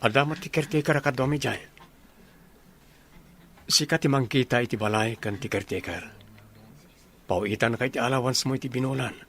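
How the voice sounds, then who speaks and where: A man's voice: medium pitch, brisk, long pauses, fairly bright tone, a little hiss or hum, lightly acted. A man playing an earnest, dutiful grown son in a radio drama, with an Ilocano accent.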